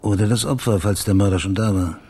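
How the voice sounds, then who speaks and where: An actor playing a blunt, dogged investigator in a radio crime drama, terse and matter-of-fact, with a husky, gravelly voice. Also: male voice, on the low side, a little fast, a little mellow. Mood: pressing, blunt. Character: dogged, blunt male investigator questioning witnesses and reasoning out a murder. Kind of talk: naturalistic German radio crime drama acting.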